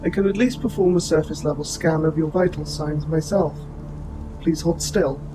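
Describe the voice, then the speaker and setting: Robot soldier, male actor: fairly high male voice, formal and precise, protective and gentle, audio drama with prominent music underneath. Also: fairly even intonation, lightly acted, rough recording. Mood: calm, caring. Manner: formal, precise, measured wording. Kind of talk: scripted audio roleplay, addressing the listener.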